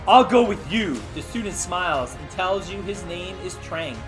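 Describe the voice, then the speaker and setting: A man's voice: high-pitched, expressive, moderate pace, music in the background. A man hosting a casual read-aloud of an interactive storybook, with informal, chatty asides to his listeners. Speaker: host reading aloud.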